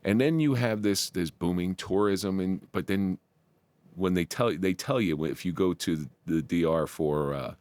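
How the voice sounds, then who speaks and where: A man's voice: low voice, unhurried, a little mellow, no echo. A man hosting a podcast, chatty and opinionated, making jokey asides as he asks questions. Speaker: podcast host. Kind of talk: casual interview.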